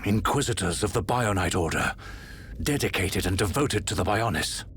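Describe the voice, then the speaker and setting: A grave, regal, deep-voiced emperor. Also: male voice, lively intonation, fairly bright tone, a little hiss or hum. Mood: grave, suspicious. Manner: stately, formal, measured. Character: aging emperor, grave, regal, proud father. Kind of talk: dramatic video game cutscene acting.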